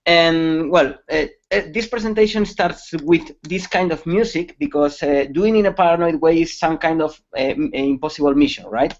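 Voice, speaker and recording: A man's voice: on the high side, moderate pace, hardly any pauses. Man, Spanish accent, webcast presenter, lots of fillers, muffled lo-fi call audio. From Spain. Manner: hesitant, many fillers and restarts, occasional laughs. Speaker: security conference speaker. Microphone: computer mic on a video call.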